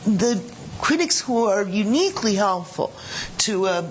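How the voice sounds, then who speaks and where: A woman's voice: mid-range voice, animated, unhurried, slight background noise, poor audio. A woman critic making a case on a panel, thoughtful and self-correcting as she argues. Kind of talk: panel discussion.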